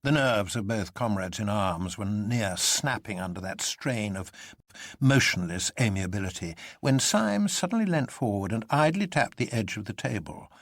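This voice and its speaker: A man's voice: lowish voice, animated, moderate pace, fairly bright tone, dry recording, studio quality. A man reading a literary story aloud as a dramatic narrator.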